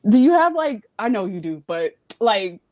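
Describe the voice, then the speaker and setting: A woman's voice: medium pitch, big pitch swings, normal speed, darkish tone, no room sound. A young woman from Virginia with Jamaican family, calling in to a casual chat show and talking frankly with lots of fillers and some swearing. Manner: rambling, frank, swears freely, many fillers. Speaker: call-in guest. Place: home.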